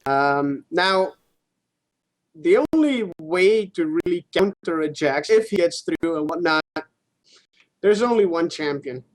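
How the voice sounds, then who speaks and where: A male gaming co-host with a noticeably high-pitched voice. He talks casually and haltingly, doubling back on his words and dropping in curses as he discusses play. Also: lively intonation, poor audio.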